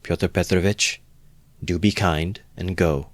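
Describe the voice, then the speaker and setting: A man voicing a proud young woman in an audiobook, firm and offended, speaking in curt, measured phrases. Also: lowish voice, fairly bright tone, dry recording, natural voice. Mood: imploring, restrained.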